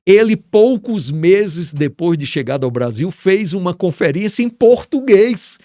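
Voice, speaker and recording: Animated storyteller man, fairly high voice. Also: measured pace, no echo, studio quality. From Ceará, Brazil. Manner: enthusiastic, admiring storyteller. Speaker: writer and guest. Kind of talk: storytelling in a casual interview.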